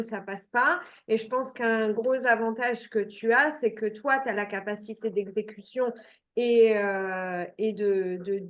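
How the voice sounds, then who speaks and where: A woman coach interviewing and praising her client, speaking hesitantly with many pauses and restarts. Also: a little high, highs cut off. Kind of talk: interview, giving feedback.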